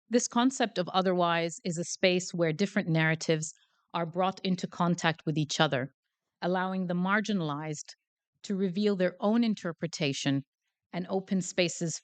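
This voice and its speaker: Low-voiced woman giving a chatty lecture. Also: dry recording. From Canada. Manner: chatty, self-deprecating, hesitant with fillers. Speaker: guest lecturer. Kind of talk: academic lecture. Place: lecture hall before a live audience. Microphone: handheld mic.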